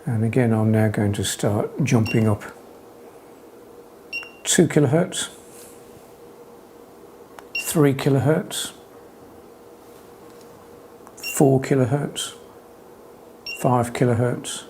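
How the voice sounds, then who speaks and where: A man giving a hobbyist's spoken equipment demonstration, calling out readings in a measured, deliberate, matter-of-fact way. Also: medium pitch, a little mellow, a little hiss or hum.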